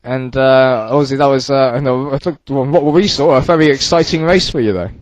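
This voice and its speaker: Male motorsport commentator and interviewer, mid-range voice, dry recording, conversational and slightly halting. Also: mid-range voice, no echo. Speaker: sim racing race commentator. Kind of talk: live sports commentary and interviewing.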